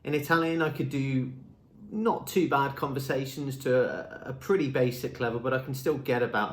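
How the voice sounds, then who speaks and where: Young male vlogger, English native, mid-pitched, friendly and chatty, speaking to camera in a roomy space. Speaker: language school marketing presenter. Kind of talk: talking-head vlog. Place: indoor room with a screen behind him.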